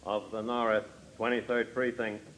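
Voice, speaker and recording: An actor playing a big, soft-spoken, vengeful killer posing as a policeman, lisping and politely deliberate, in an old radio crime drama. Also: fairly low pitch, level tone, close to an everyday voice. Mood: reserved, polite. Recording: noisy old radio recording.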